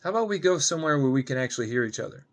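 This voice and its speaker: A man plays a soft-spoken man in his late twenties in a drama reading. He is mild-mannered and earnest, a gentle flirt with a smooth line. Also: a little high, animated, rapid-fire, voice pushed up, lightly acted. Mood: flirtatious, earnest.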